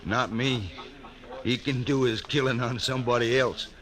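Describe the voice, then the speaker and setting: A man's voice: medium pitch, steady tone, moderate pace, natural voice. Man as a humble Old West skinner, drawl, folksy, vintage radio drama, crowd noise. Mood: wary, humble. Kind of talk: 1950s radio western acting. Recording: vintage radio recording, murmuring crowd behind.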